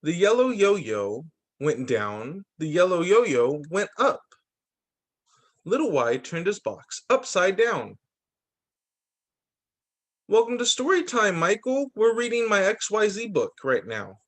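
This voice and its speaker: A man with a slightly high voice reads storytime books for young children. He goes very slowly and deliberately, with a lively, swooping melody. His phrasing is sing-song, like a teacher leading small listeners through each line.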